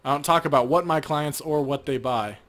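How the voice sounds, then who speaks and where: A man's voice: on the high side, a little fast, a bit bright, close to an everyday voice. A man voicing a discreet, cagey gun dealer from a video game, guarded and terse.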